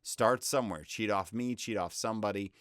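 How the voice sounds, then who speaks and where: Deep-voiced man rambling through a tutorial. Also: fairly quick, fairly bright tone, clear, resonant tone, dry recording, studio quality. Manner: rambling, self-interrupting, upbeat advice. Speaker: voice-over freelancer teaching online selling. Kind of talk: casual screen-share tutorial.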